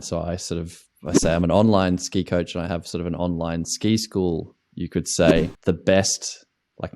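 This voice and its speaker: A man's voice: low voice, darkish tone. An Australian man, an online ski coach, answering interview questions in a casual, rambling way with many restarts and fillers.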